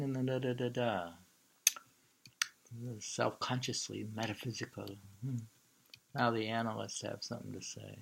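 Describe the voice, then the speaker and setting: A man reading poems aloud and commenting informally, musing haltingly with muttered asides and drawn-out lines. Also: mid-range voice, creaky, slight background noise, low-quality recording. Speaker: reader and commentator.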